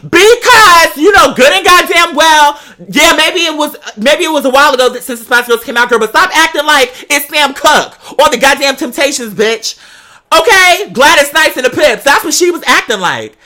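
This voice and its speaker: A woman telling a personal story as a host, in animated, slangy casual talk with a sassy, dramatic manner, peppering it with "girl" and swearing. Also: high-pitched, normal speed.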